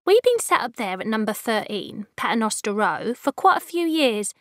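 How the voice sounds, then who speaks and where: A woman's voice: fairly high pitch, very animated, normal speed, dry recording, clean studio sound. A woman narrating in the first person as Jenny Flint, a plucky young Victorian maid, chatty and cheeky.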